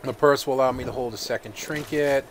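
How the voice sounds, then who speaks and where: A man who is feeling a little sick, giving relaxed let's-play commentary and thinking aloud with plenty of fillers. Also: mid-range voice, quick pace, background noise. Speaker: gaming video host.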